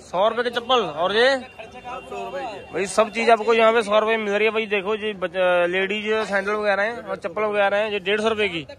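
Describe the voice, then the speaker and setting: A man's voice: high voice, fairly even intonation, fairly quick, a bit bright. A man giving repetitive, sales-like running commentary to viewers as a street market vlogger, asking vendors prices. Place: busy outdoor street market.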